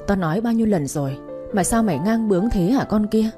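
Một phụ nữ đóng bà mẹ trung niên giận dữ. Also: female voice, lively intonation, a little fast, music in the background, lightly acted. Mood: furious. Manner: shrill, harsh scolding. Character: furious middle-aged mother scolding daughter.

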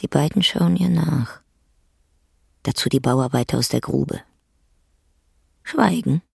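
Stage-direction narrator: terse, very husky, creaky, radio play delivery. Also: male voice, fairly high pitch, darkish tone, no echo, studio quality, heavily acted. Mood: hushed, deliberate. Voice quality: husky, breathy. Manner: deliberate, clipped phrases.

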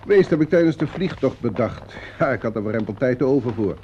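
A man playing a bossy theatre director in a radio play, brisk and authoritative, placating yet short-tempered. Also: medium pitch, lively intonation, mellow, close to an everyday voice. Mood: explaining. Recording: dull, noisy old radio recording.

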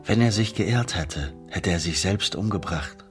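A deep-voiced, reflective father. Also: expressive, a little slow. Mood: pensive. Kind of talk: radio drama acting. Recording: clear music behind the voice.

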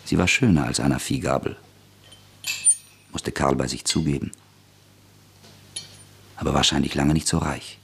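A man narrating a radio play in a measured, even storytelling manner. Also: extremely low pitch, creaky, slight background noise.

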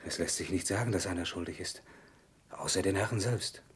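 An actor playing a sober, dutiful Parisian husband in an old German radio drama, grave and measured. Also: male voice. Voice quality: husky, low.

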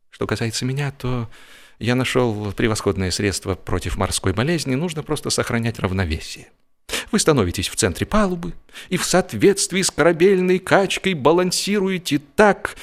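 A man narrating a humorous story expressively, in an ironic, mock-serious manner. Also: medium pitch.

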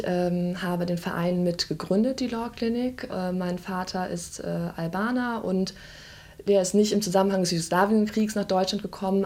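A woman's voice: on the low side, darkish tone. A woman in her twenties, co-founder of a student legal aid group, speaking thoughtfully in an interview with frequent fillers. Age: 20s. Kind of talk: interview statement.

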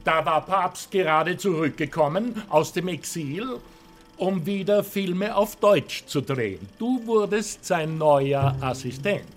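An actor playing a genial, flattering old-time Austrian television host with an unctuous, showy manner, in a radio play. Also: male voice, fairly high pitch, slow, faint music behind. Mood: chummy. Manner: unctuous, leisurely, showman-like.

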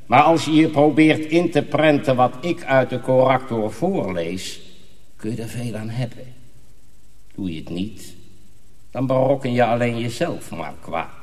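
A man playing a stern, menacing master sorcerer in a radio drama, commanding, deliberate and solemn. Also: medium pitch, close to an everyday voice. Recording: long hall reverb.

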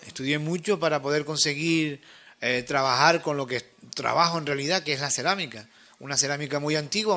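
A middle-aged man talks in an easygoing, anecdotal way, pausing on small fillers. His voice sits a little high and sounds bright and forward. He is a craftsman guest in a radio studio. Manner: relaxed storytelling with fillers. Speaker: ceramics artisan guest. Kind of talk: casual radio interview answers.

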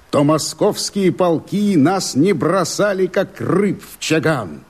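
An actor playing an aggrieved rebel comrade, speaking verse in a husky, emphatic, theatrical voice. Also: male voice, fairly high pitch, full character voice. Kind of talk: declaimed verse radio drama.

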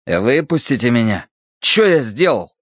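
A man's voice: mid-range voice, very animated, unhurried, fairly bright tone, slightly rough, no room sound, clean studio sound, full character voice. Man putting on a sneering, spiteful villain. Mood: whining. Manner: sneering, whiny. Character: spiteful, sulky male villain in a space adventure.

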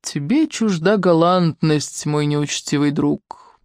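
A man's voice: high-pitched, lively intonation, a little fast, no room sound, clean studio sound, voice pushed up. A reader voicing a suavely polite young man. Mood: mock-gallant. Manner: soft, exaggeratedly courteous. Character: polite, ironic young male sleuth.

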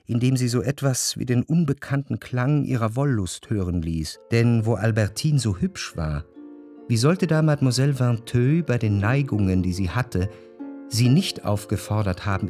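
A male actor plays a melancholy, introspective man narrating his memories for literary radio drama. His mid-range voice is measured and reflective. Soft music runs faintly behind him. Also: mid-range voice, dry recording, studio quality, lightly acted. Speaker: first-person narrator.

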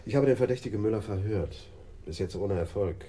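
Guy doing polite police officer, low and really mellow dark voice, formal radio drama reporting, faint noise behind. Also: fairly quick, natural voice. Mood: courteous, formal. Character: courteous male Amsterdam police officer, deferential, reporting. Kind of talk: German radio crime drama acting.

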